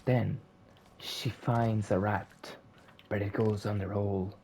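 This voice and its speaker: A woman with a very low, mellow voice tells an improvised comic story. She goes slowly in short deadpan phrases, over faint noise and clicks.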